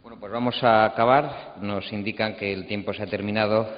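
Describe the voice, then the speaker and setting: A man's voice: on the low side, fairly even intonation, fairly quick. A man casually moderating a panel on a stage. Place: stage of a large auditorium. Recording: muffled, echoing auditorium sound.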